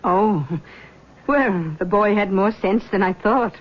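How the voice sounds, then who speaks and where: A woman's voice: mid-range voice, takes it slowly. Fretful, smothering mother, muffled radio. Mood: worried, wounded. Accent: American. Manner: fussy, fretful, pleading. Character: anxious, smothering middle-aged mother, easily wounded. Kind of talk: 1970s American radio drama acting. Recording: dull, faintly noisy 1970s radio recording.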